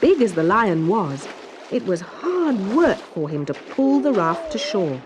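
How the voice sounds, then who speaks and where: A woman reading a children's story as narrator, in an expressive, dramatic storytelling manner. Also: mid-range voice, a little slow, noisy background.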